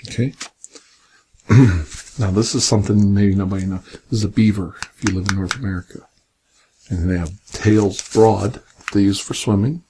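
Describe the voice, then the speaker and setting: A man reading a picture book aloud in a soft, gentle, partly whispered ASMR bedtime style with casual asides, close to the microphone. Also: fairly low pitch, steady tone, normal speed, long pauses. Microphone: close binaural microphone. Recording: clear background noise, binaural ASMR microphone.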